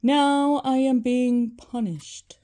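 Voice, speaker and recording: Mournful male ghost, voiced by a woman, solemn, moaning, warning, slow, storybook character. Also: high-pitched, fairly even intonation, warm tone, voice pushed up. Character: mournful male ghost of a dead business partner.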